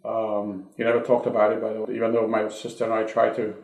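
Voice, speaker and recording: A man in his sixties, born in Hungary, recalling his childhood in a reflective, halting way during an oral history interview at his home. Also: on the low side, monotone, darkish tone, reverberant, rough recording. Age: 60s.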